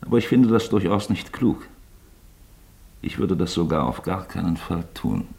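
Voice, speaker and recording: Sly genial investigator, lowish voice, swooping intonation, musing, affable, radio play. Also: male voice, very animated, gravelly, darkish tone, heavily acted. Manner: affable, musing, pointedly drawn-out. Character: sly, genial male investigator toying with suspect. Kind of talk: German radio drama acting.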